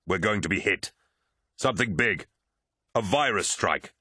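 A man's voice: lowish voice, lively intonation, measured pace, sharp tone, husky, no room sound, full character voice. A grave, loyal military captain played by a male narrator, earnest and urgent. The delivery is that of a serious sci-fi audiobook, recorded close and dry.

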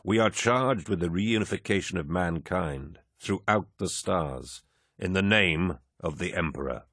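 A giant warrior , as the narrator voices him, stays calm and firm in an audiobook scene. His voice is very low and dry, and his speech is measured. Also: husky, dry recording, exaggerated voice.

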